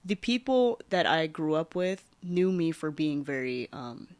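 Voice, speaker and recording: A young woman talks casually into a close mic, recounting her own past with plenty of fillers. Her phrases often fade softly at the ends. The sound is dry with no room around it. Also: low-pitched, big pitch swings, unhurried, no room sound. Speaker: podcast host. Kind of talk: casual personal storytelling.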